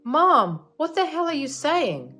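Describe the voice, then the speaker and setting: A woman's voice: lowish voice, sing-song, normal speed, bright, forceful tone, soft background music, heavily acted. Woman voicing an angry husband. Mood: indignant. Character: angry young husband defending his wife.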